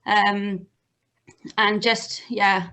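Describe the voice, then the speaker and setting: Female, young adult. Slow, gentle, conversational storyteller on a home video call. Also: mid-range voice, little pitch movement. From Cardiff, Wales. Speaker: interview guest. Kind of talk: casual personal storytelling. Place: small home room with bookshelves, on a video call. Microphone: computer mic on video call.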